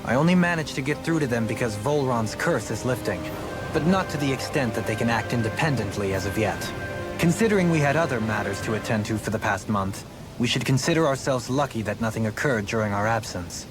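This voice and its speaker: A man playing a composed aristocratic former lord in a video game, with formal, measured phrasing. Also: medium pitch, expressive, music in the background, close to an everyday voice, low-quality recording. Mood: composed, grave. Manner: formal, measured, stately wording. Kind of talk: video game cutscene acting.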